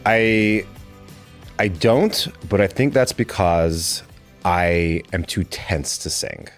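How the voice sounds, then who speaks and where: A young man with a deep bass voice, a podcast guest telling stories in a rambling, self-deprecating way. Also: expressive, slow, faint music behind. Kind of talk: conversational interview.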